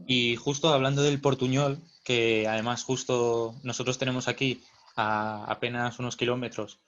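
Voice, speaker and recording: Interviewer, dull lo-fi sound. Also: male voice, mid-range voice, little pitch movement, normal speed, fairly bright tone, slight background noise. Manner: hesitant, many fillers. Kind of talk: interview questions.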